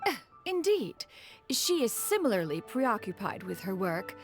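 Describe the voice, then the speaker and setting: A woman's voice: medium pitch, sing-song, a little slow, faint music behind. A woman voicing a proud, aloof immortal master in a video game, speaking formally and archaically with hidden fondness. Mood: reserved. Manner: haughty, formal, archaic, calls herself "one". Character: proud, aloof female immortal adeptus master, secretly fond. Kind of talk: video game character acting.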